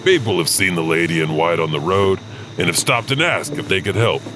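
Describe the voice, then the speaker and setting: A man's voice: deep voice, lively intonation, a little fast, over music. A man narrating spooky scripted stories as host, with a theatrical delivery.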